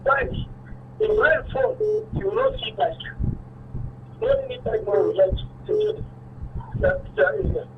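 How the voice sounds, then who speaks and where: A Nigerian man explains things in a casual way. His pitch is extremely high for a man and leaps about. The sound is tinny and distant, with clear noise. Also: wildly swooping pitch, unhurried, long pauses. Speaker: studio guest. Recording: distant, tinny, noisy low-fidelity sound.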